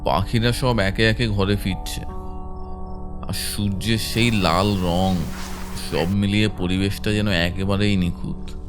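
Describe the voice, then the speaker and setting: A man's voice: fairly low pitch, fairly even intonation, takes it slowly, over music. A man narrating a scripted first-person horror story.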